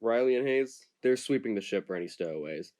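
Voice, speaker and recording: A man's voice: lowish voice, lively intonation, brisk, warm tone, natural voice. An actor playing a wary male ship captain in an amateur audio drama, firm and questioning. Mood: explaining. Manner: firm, interrogating.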